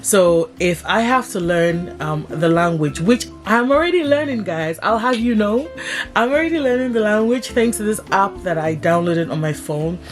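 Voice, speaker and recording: A woman's voice: mid-range voice, lively intonation, normal speed, soft background music. A young woman vlogging casually to her viewers, chatty and laughing often. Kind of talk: casual vlog talk to camera. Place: village home abroad.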